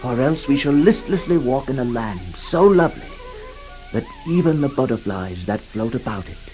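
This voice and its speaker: A man's voice: mid-range voice, rough recording. A man playing a lovelorn king who declaims his dream in a grandiose, yearning 1960s radio drama monologue. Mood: rapturous, pleading. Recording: 1960s radio production with music behind.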